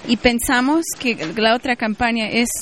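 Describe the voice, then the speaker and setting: A woman's voice: fairly high pitch, moderate pace, slight background noise. Woman explaining steadily. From Mexico. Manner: steady, explanatory, with frequent "eh" fillers. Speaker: activist being interviewed. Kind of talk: interview answers.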